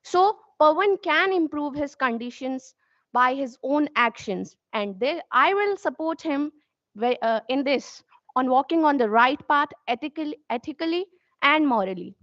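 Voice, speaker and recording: A woman's voice: high-pitched, lively intonation, unhurried, fairly bright tone, dry recording. A young woman with an Indian accent, a student giving an earnest, formal competition speech with occasional restarts. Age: young adult. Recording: dull dry lo-fi recording.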